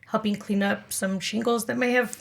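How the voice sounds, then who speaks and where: A woman's voice: fairly high pitch, fairly even intonation, moderate pace, gravelly. A woman speaking as an interview guest, explaining things conversationally with everyday examples and checking that listeners follow. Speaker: podcast guest, amateur radio club event director.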